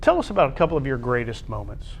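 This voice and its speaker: A man's voice: mid-range voice, huge pitch swings, rapid-fire, vocal fry, a little hiss or hum. A man with an American accent hosting a casual, conversational interview. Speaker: interview host, athletic administrator.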